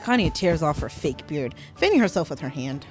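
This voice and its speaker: A woman's voice: sits low, sing-song, brisk. A woman streaming a game, reading its text aloud and reacting in a playful, giggly way. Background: game music.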